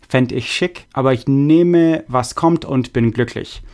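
A young man hosting a podcast, reading listener messages in a relaxed, halting way with many fillers. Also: medium pitch. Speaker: podcast host. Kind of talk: casual podcast chat reading listener mail.

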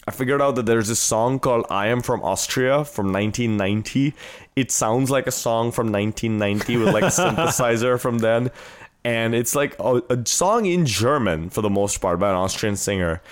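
A man's voice: medium pitch, moderate pace. An American man hosting a podcast, chatting informally and with enthusiasm as he recounts things. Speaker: podcast host. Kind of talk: casual chat, recounting a trip.

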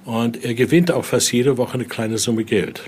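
An older man with a mid-pitched voice gives a spontaneous guided explanation. He narrates step by step and often tacks on small questioning tags. Noticeable ambient noise sits behind him. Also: fairly even intonation, normal speed, darkish tone. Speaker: museum guide and interviewee. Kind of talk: spontaneous guided-tour storytelling. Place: indoor exhibition room. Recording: clearly noisy room ambience.

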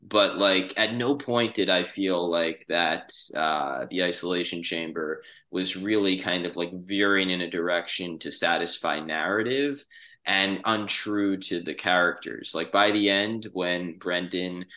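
A man hosting a casual podcast discussion, earnest and halting as he works through his points. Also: sits low, sharp tone. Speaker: podcast host.

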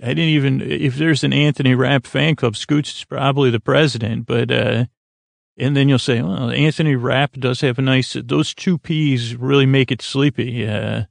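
A man hosting a sleep podcast in a lulling, soothing way, rambling unscripted, stumbling and trailing off mid-thought with gentle self-deprecation. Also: mid-range voice, fairly even intonation, normal speed, gravelly, no echo. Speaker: podcast host.

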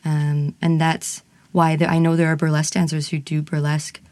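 A woman's voice: sits low, level tone, normal speed, darkish tone, no room sound. A woman who performs burlesque and singing, talking frankly in an interview and laughing easily. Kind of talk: candid interview conversation.

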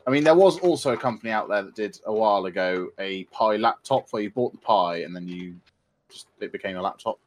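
A man's voice: on the low side, lively intonation, a little fast. A young British man co-hosting a podcast, explaining gadgets in a casual, keen way. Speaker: podcast co-host. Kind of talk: casual tech chat.

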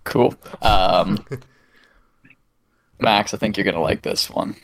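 Gravelly-voiced man giving trivia hints. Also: fairly low pitch, slow. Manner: easygoing, laughs often. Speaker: podcast co-host, quiz giver. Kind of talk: casual chat.

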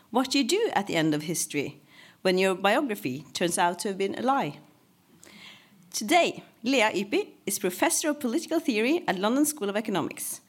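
A woman hosts an event from a prepared introduction. Her mid-pitched voice moves with very lively intonation as she formally presents the guests. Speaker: event host introducing speakers.

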